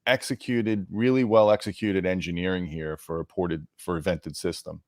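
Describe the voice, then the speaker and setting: A man's voice: sits low, fairly even intonation, normal speed, darkish tone, no room sound, studio quality. A man hosting an interview, explaining technical points conversationally and addressing viewers directly. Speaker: host interviewing an audio product manager.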